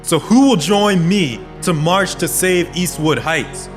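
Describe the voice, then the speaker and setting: An actor playing an earnest American community organizer in an audio drama, rallying and sincere. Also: male voice, high voice, slow pace, over music. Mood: impassioned.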